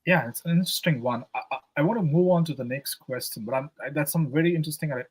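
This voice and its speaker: A man hosts a radio panel discussion, speaking conversationally and stumbling over fillers as he gathers his thoughts. His voice is a little husky. It is recorded dry and close, with no room sound. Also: a little high, quick pace, no room sound.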